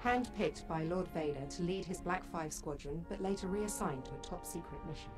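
A woman's voice: fairly low pitch, moderate pace, music in the background, natural voice. An actress playing a confident young female military pilot in a video game, formal and self-assured. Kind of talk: scripted game cutscene dialogue.

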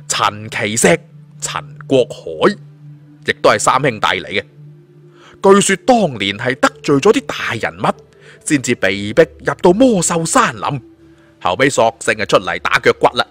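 A man's voice: mid-range voice, fairly bright tone. A man with a Hong Kong Cantonese accent narrating a fantasy story in a dramatic storytelling style. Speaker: audiobook narrator. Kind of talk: dramatic scripted storytelling of a suspense fantasy novel.

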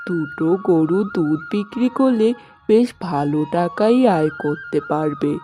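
A woman's voice: on the low side, animated, a little slow, mellow. A woman playing a kindly, practical older mother in a cartoon story. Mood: helpful. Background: background music.

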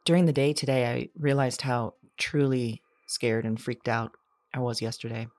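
A woman playing a lonely, haunted character who keeps an audio journal in a drama, with a halting, intimate, confessional delivery. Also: rumbling low, steady tone, dry recording. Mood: weary, reflective. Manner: halting, hesitant, hushed reflective delivery.

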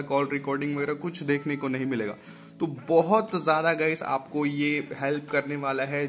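Higher-pitched Indian man giving tech tutorial. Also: fairly bright tone. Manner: conversational, repeatedly addressing viewers as guys, repeats instructions. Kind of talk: casual step-by-step phone tutorial.

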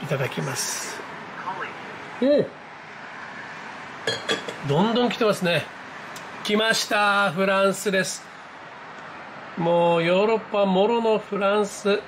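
A man giving casual, excited live commentary as a hobby vlogger, reacting aloud in exclamations as he goes. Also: sits high, slow pace, long pauses, slight background noise, rough recording. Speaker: amateur radio operator hosting his own video. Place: indoors at a desk, filming a computer screen.

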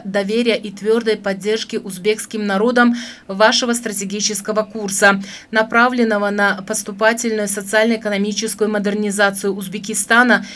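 A female news announcer with a medium-pitched voice reads a formal script. Her delivery is solemn and measured, in the official style of a state bulletin. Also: a bit bright.

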